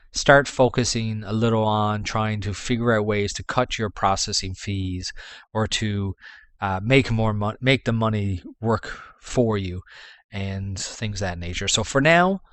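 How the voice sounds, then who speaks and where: Canadian guy rambling thru business tips, lowish voice, dry sound. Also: dry recording. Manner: rambling, with restarts and fillers. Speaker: dropshipping vlogger. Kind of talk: casual advice talk.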